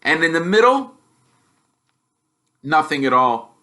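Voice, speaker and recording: A man reading a story aloud as expressive scripted narration. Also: fairly high pitch, takes it slowly. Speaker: storybook reader.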